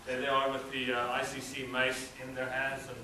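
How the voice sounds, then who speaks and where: A man's voice: mid-range voice, normal speed, very noisy. A man from South Africa with a South African accent, a native Afrikaans speaker, giving a hesitant slideshow presentation at a cultural event. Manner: relaxed, hesitant with frequent uh fillers. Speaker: student presenter. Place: event hall. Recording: roomy hall, mic at a distance.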